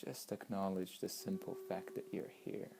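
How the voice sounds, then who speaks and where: A woman leading a guided meditation in a measured, instructing manner, with long pauses between short phrases. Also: rumbling low, steady tone, gravelly, heavy background noise. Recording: voice over meditation music with flute.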